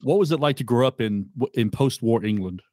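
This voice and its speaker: A man's voice: mid-range voice, animated, a little fast, a little mellow, no echo, clean studio sound. A man hosting a podcast, asking his guest brief prepared questions. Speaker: podcast host. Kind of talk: interview questions.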